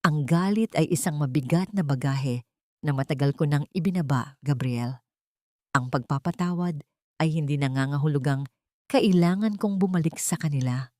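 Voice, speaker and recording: Narrator as a serene, low-voiced Filipina lawyer. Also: female voice, moderate pace, dry recording, clean studio sound, close to an everyday voice. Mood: serene, resolute. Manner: composed, quietly firm. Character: serene, dignified woman lawyer who has forgiven. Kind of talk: audio storybook dialogue.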